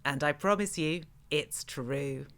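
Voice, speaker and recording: An actor playing a showy radio show host with a menacing edge, presenting theatrically in an audio drama. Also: male voice, on the high side, takes it slowly, a bit bright. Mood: showy, upbeat.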